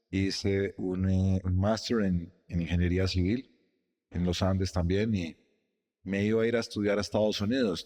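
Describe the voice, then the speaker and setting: A middle-aged man, a company president, telling his story in a measured, reflective way during an interview in his office. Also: sits low, darkish tone, in a large hall. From Costa Rica, raised in Guatemala and Colombia.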